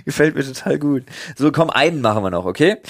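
A man's voice: medium pitch, lively intonation, quick pace, no room sound, clean studio sound. A young man bantering casually and comedically as a podcast host, using slang and English words.

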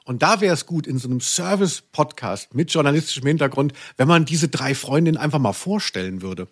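A man's voice: a little high, lively intonation, fairly quick, slightly rough. A man co-hosting a podcast, chatting casually about audio dramas in a playful, mock-serious way. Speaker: podcast co-host.

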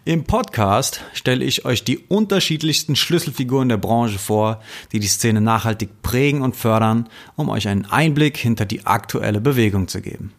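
A man's voice: mid-range voice, moderate pace, hardly any pauses. A man hosting a podcast, reading a scripted intro and then asking his guest friendly, casual questions with plenty of fillers. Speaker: podcast host. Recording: roomy echo.

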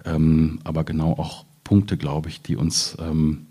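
Man, deep voice, dark and mellow, hesitant phrasing, poet in reflective literary talk. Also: flat delivery, measured pace, breathy edge. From Ruhr area, Germany.

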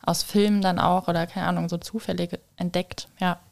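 Female guest, noticeable vocal fry, slightly low register, halting informal chat that tapers off. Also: level tone, darkish tone. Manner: tentative, halting, trailing off. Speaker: podcast guest, communication designer. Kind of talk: casual chat.

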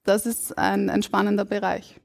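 A woman from Burgenland with an Austrian German accent, a linguist on a live podcast stage, explaining in a measured, academic way. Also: mid-range voice, monotone, gravelly. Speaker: guest linguist. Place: stage before a live audience.

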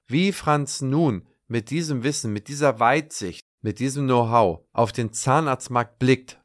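Measured male podcast host. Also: mid-range voice. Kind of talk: scripted intro, then casual interview questions.

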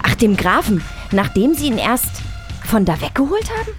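A woman's voice: fairly high pitch, wildly swooping pitch, moderate pace, soft background music, pitched above the performer's own voice. A woman playing a bold, accusing young girl sleuth in a children's audio play read as a game.

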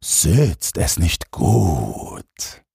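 A man's voice: a bass voice, wildly swooping pitch, creaky, mellow, breathy edge, no echo, studio quality, exaggerated voice. A man voicing a vain, insecure emperor in a fairy tale, pompous and drawing his words out. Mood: pompous approval. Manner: pompous, drawn-out. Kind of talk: fairy-tale audiobook character voice.

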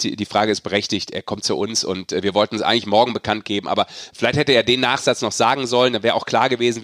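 Low-voiced man in earnest sports podcast chat. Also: a bit bright, dry recording, studio quality. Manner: earnest, opinionated. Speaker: podcast host and sports reporter.

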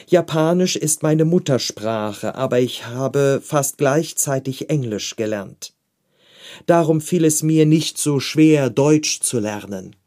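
A man reading a magazine article aloud in a calm, measured way. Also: medium pitch.

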